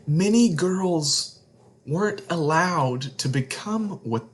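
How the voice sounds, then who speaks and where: A man reading a picture book aloud to children as a read-along host, with deliberate, emphatic phrasing. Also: on the high side, lively intonation. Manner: deliberate phrasing, emphatic, explains words to young listeners.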